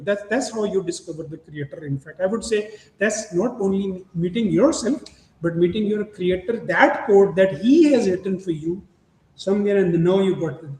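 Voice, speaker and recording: A middle-aged man hosting an interview, talking in halting starts with many fillers and frequent laughs. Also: high-pitched, a little mellow. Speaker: podcast host. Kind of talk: casual interview chat. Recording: echoey room with faint ambient noise.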